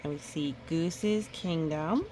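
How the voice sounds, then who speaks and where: A woman reading a picture book aloud in a chatty, expressive way, with casual asides. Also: low voice, slow pace, slight background noise. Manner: chatty, expressive storytelling with playful sound effects. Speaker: storybook reader.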